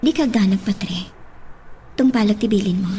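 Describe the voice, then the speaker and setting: A woman's voice: medium pitch, normal speed, dark tone, a little hiss or hum, natural voice. A woman playing an unwell, reassuring young woman in a radio drama. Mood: weary, reassuring. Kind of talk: Ilocano radio drama acting.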